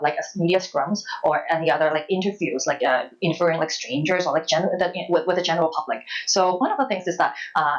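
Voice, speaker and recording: A woman in her thirties from Hong Kong, with a Hong Kong accent, answering interview questions reflectively in a halting, hesitant way with repeated words. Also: lowish voice, highs cut off. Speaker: interview guest, former journalist.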